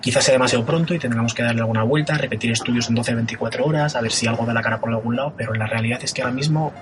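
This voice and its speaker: An actor playing a calm, formal intensive care doctor who speaks in clinical terms. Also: male voice, mid-range voice, rapid-fire, close to an everyday voice. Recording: noisy recording.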